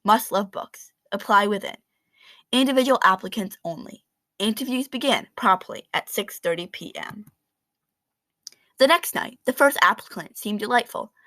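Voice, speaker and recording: A woman reading a children's picture book aloud in an expressive, animated storytelling manner with dramatic emphasis. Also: mid-range voice, moderate pace, dry recording.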